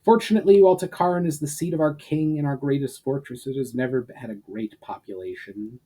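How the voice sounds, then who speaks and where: Man, middle-pitched voice, dramatic fantasy storytelling, earnest, emphatic phrase openings. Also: fairly quick, mellow. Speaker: author reading his fantasy novel aloud. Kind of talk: dramatic scripted story narration.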